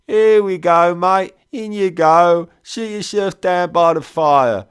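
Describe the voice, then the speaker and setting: A man's voice: sits high, takes it slowly, smooth, voice pushed up. A man doing a sly cockney whiskey trader. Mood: chummy. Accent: London cockney. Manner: chummy drawl, calls everyone mate.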